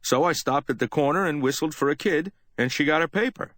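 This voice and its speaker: A man's voice: on the high side, fairly quick, fairly bright tone, no room sound, clean studio sound, voice pushed up, lightly acted. A man reading an audiobook, voicing a plain-spoken working cab driver in a folksy, raised voice. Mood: matter-of-fact.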